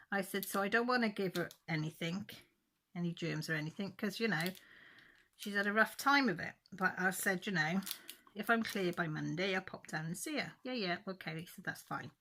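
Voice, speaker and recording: A woman's voice: on the low side, moderate pace, pushed and bright, a little hiss or hum. A woman chatting casually at home while crafting, rambling and correcting herself about the days, a little stuffy with a cold. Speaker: crafting vlogger.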